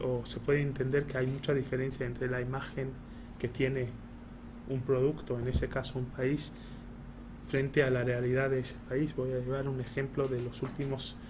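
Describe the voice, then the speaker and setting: A man, a non-native Spanish speaker with an Argentine Spanish accent, gives a prepared public talk, pausing often on "eh" and restarting phrases. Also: medium pitch. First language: Hebrew. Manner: halting, many "eh" fillers and restarts. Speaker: embassy spokesperson giving a talk. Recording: noisy room recording.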